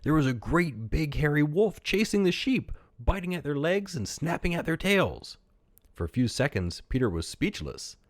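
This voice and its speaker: A man's voice: fairly high pitch, very animated, normal speed, no echo, studio quality. A man narrating a read-aloud children's story as a storytelling podcast host.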